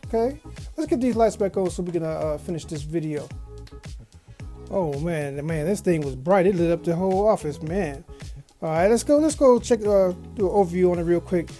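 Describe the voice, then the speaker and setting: Bright-voiced low woman, casual reviewer. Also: expressive, moderate pace, faint music behind. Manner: folksy, slangy, repeats phrases. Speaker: product reviewer, video host. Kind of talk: casual unboxing review chat. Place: small home office studio.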